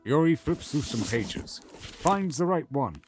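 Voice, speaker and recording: A man's voice: on the high side, big pitch swings, measured pace, music in the background. A man narrating a scripted fantasy audio drama in the present tense.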